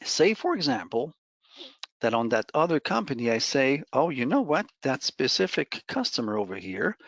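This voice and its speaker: A man presenting a software demo in an informal, conversational way, explaining each step as he goes over a screen share. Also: fairly high pitch, big pitch swings, moderate pace, dry recording.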